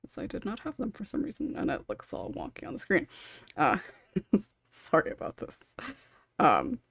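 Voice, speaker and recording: A woman's voice: mid-range voice, wildly swooping pitch, moderate pace, vocal fry, fairly bright tone, breathy edge, no echo. A woman moderating a casual panel as podcast host, apologetic and reassuring, with a giggly way of talking.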